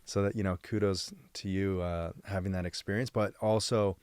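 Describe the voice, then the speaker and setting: A man's voice: sits low, steady tone, moderate pace, a little mellow, clean tone, dry recording, clean studio sound. A man from San Diego hosting a podcast, thinking aloud in a rambling, reflective way during a casual interview conversation. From San Diego, United States. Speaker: podcast host.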